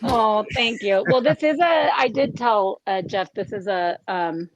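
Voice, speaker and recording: A woman talking casually after a show, telling her story in an animated way as one of the actors. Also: medium pitch, unhurried, dry recording, lo-fi sound. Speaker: actress in an amateur radio play troupe, playing the lead.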